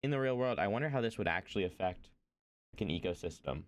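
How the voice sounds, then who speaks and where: A young man chats through a reaction stream, rambling and hesitant. His voice sits a little low, smooth and clear, and he fills every pause with likes and ums. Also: lively intonation. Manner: rambling, hesitant, with many fillers. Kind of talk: casual reaction commentary.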